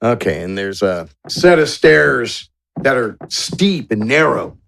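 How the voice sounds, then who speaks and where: A man's voice: medium pitch, very animated, slow pace, creaky, fairly bright tone. A man narrating a tabletop game as game master, improvising descriptions in an informal way.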